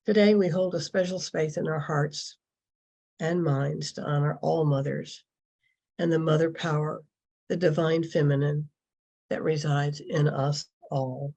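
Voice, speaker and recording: Gravelly, mellow woman reading a devotional. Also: sits low, steady tone, measured pace. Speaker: congregation member reading a service address. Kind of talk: devotional reading, poem and affirmation.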